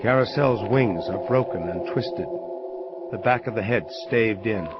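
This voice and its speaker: An actor playing a grimly determined avenging angel detective, plain-spoken and terse, in a hardboiled audio drama. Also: male voice, lowish voice, unhurried, dull audio, over loud music, lightly acted. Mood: clinical.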